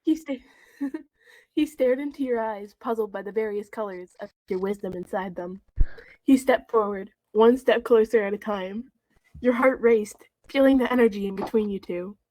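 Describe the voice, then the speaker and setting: A woman's voice: medium pitch, normal speed, mellow, dry recording, poor audio. A woman reading a story aloud mock-seriously, amused and dropping wry, casual comments. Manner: amused, giggly, wryly commenting. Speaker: co-reader in a fanfiction reading video.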